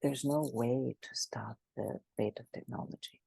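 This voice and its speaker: A woman speaking as the expert guest in an interview, animated and emphatic, often restarting her sentences. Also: rumbling low, moderate pace, vocal fry, slightly rough, dry recording. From Greece. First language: Greek. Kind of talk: conversational interview.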